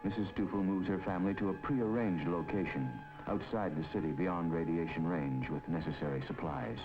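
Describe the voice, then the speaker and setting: A man giving measured, authoritative scripted narration for a 1950s documentary film. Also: deep voice. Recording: dull 1950s film soundtrack with music.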